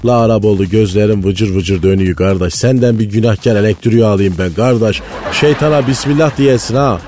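A man's voice: lowish voice, brisk, background noise, close to an everyday voice. A man playing a pious, conspiracy-minded provincial economy guru in radio comedy, earnest and rambling, with a Malatya accent from eastern Anatolia. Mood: pious, genial. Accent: Malatya, eastern Anatolian Turkish. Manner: folksy, earnest, rambling anecdotes. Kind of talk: comic radio character monologue. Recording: studio voice over background music.

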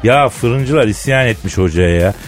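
Male radio co-host, lively swooping intonation, colloquial teasing banter, faint music underneath. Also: fairly low pitch, a little slow. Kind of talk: casual comic banter. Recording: music bed behind the voice.

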